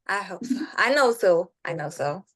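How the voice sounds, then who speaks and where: A woman's voice: medium pitch, animated, slow pace. A young woman from Cameroon introducing herself on a video call, shy and hesitant with lots of fillers. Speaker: networking call participant. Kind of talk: casual self-introduction.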